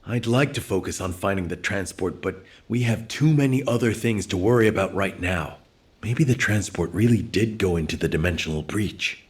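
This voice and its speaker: An actor playing a serious, weary executive officer in a video game, speaking with terse authority. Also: male voice, low-pitched, normal speed, a little hiss or hum, natural voice. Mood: weary, worried. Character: grave, weary military executive officer under pressure. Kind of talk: video game dramatic acting.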